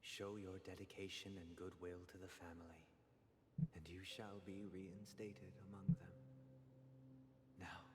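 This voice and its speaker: An actor playing a calm, solemn male advocate who hears confessions in a formal, measured, priestly way in a video game. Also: deep voice, very noisy. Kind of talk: scripted game voice acting. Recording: loud music behind the voice.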